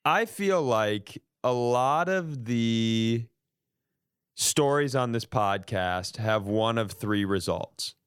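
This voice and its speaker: A man's voice: medium pitch, expressive, takes it slowly, smooth, no echo, clean studio sound. A man hosting a comedy podcast, telling casual comic stories in a joking, self-deprecating way with some swearing. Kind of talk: casual comic storytelling and banter.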